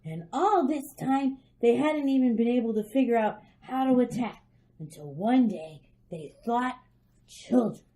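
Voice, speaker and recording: An excitable little girl in an old-time radio play. The voice is high and very lively in pitch, with a soft-edged tone, bossy and eager in a loud, childish way. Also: measured pace. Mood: eager confiding.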